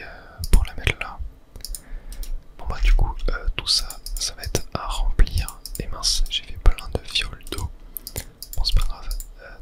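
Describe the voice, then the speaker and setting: A person whispering relaxed ASMR gameplay commentary, murmuring thoughts aloud as they play. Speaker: video game player and host.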